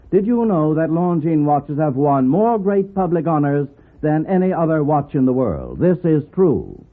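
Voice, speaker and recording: A man with an American accent reading a formal, stately 1950s radio advertisement as announcer. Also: a little high. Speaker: commercial announcer. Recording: muffled old radio broadcast recording.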